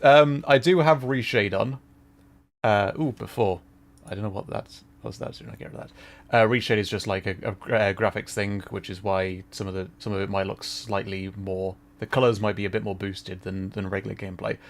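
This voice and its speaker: A man's voice: fairly low pitch, fairly even intonation, a little fast. A man hosting a game livestream in a casual, chatty and jokey style, lightly self-deprecating. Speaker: livestreamer, host. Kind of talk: casual game stream chat.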